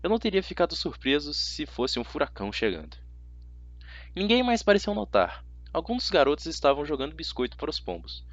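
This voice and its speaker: A man with a Brazilian accent narrating a first-person story as an amateur audiobook reader, dramatic and expressive. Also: on the high side, brisk, dry recording. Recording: slightly dull, dry audio.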